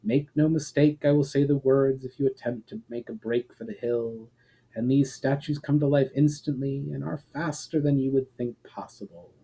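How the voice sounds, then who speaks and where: A man voicing a proud, calm female elf leader in a fantasy storybook, with stately, measured and formal speech. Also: medium pitch, vocal fry, slightly rough, low-quality recording. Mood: stern warning.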